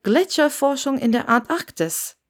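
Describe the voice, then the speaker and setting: A woman's voice: high-pitched, very animated, measured pace, voice pushed up, exaggerated voice. Chatty, nosy innkeeper woman, put on by a narrator. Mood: surprised, chatty. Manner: talkative, exclaiming, inquisitive.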